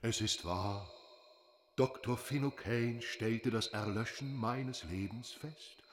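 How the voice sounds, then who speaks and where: A male actor as an ashen ghost of a man, hollow and mournful. He speaks slowly and formally in a long reverb, solemn as befits a radio-play corpse. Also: mid-range voice, lightly acted. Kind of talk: radio drama acting. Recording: long reverberant hall sound.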